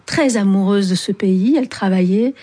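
Reflective woman with a mellow voice. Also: medium pitch. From Algeria. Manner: thoughtful, pauses often with hesitant fillers. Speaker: writer, interview guest. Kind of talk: reflective personal storytelling in an interview.

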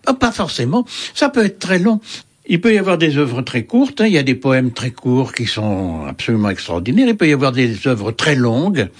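A man's voice: mid-range voice, sing-song, moderate pace, husky. An older man, an interviewed writer, answering in a musing, hesitant way with many fillers and restarts.

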